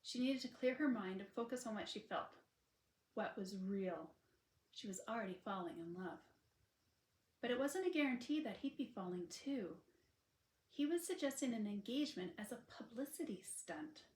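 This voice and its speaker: A woman author reading her own romance novel aloud in an expressive, informal storytelling style. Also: fairly high pitch, moderate pace. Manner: informal asides to listeners between passages. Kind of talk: expressive audiobook narration of a sweet romance. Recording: unedited roomy home recording.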